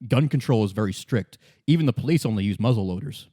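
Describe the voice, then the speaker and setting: A man running a tabletop roleplay as game master, improvising the narration and haltingly thinking aloud. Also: fairly low pitch, animated, mellow, no room sound, clean studio sound.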